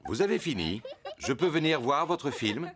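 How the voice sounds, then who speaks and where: A man's voice: a little high, very animated, normal speed, noisy background. A man voicing a good-natured, jolly father pig in a children's cartoon dub.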